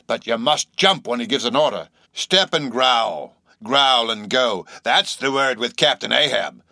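A man's voice: medium pitch, expressive, a little slow, gravelly, sharp tone, no echo, clean studio sound, voice pushed up, full character voice. A man voicing a shabby, insanely earnest old sailor in an audiobook, speaking abruptly with nervous emphasis and derisive, cryptic questions. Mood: derisive, ominous. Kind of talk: audiobook character acting.

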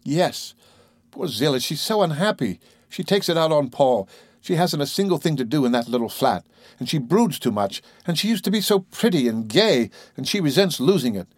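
A calm, reproachful wife who scolds softly and steadily, as a male narrator voices her. The pitch is a little above his own, and the tone stays level. The recording is dry. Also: normal speed, slightly rough, dry recording.

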